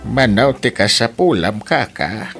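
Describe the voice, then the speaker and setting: A man acts out a plain-spoken older relative in an audio drama. His voice is very low, and he sounds sympathetic, sighing with pity. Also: big pitch swings, a little slow, over music. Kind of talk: Ilocano audio drama acting.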